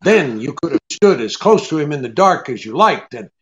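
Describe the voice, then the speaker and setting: An actor playing a tough, shrewd private eye in old-time radio drama style, clipped and hard-boiled. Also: male voice, a little high, very animated, normal speed, muffled recording. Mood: hard, accusing.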